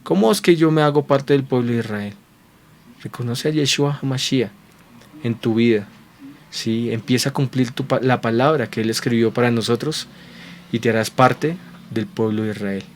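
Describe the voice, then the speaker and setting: A man's voice: medium pitch, moderate pace, a little hiss or hum. A man preaching a sermon to viewers at home, earnest and repeating phrases as he builds his points. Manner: earnest, checks in with repeated rhetorical questions.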